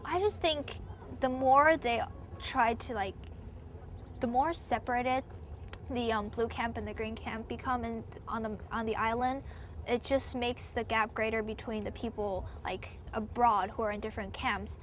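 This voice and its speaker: Young woman from Taiwan, casual interviewee, slightly high voice, loose and chatty with lots of "like", a light hiss of noise behind her. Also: steady tone, measured pace, a bit bright. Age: young adult. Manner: chatty, many "like" fillers, hedging.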